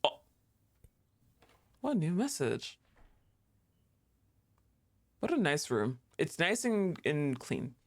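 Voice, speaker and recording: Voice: mid-range voice, sing-song, slow, pauses often. A streamer chatting casually and thinking aloud while playing a game, laughing often and talking back at the screen. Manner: thinks aloud with fillers and restarts.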